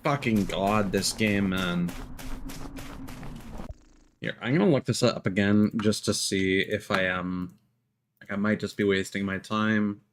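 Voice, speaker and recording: A man's voice: lowish voice, measured pace, pauses often, slight background noise. A man doing casual live gameplay commentary, rambling as he thinks aloud. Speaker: streamer.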